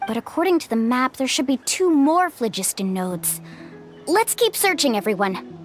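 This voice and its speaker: A woman's voice: sits high, very animated, moderate pace. An actress playing a friendly, encouraging young woman guide who leads the group in a video game. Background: game music.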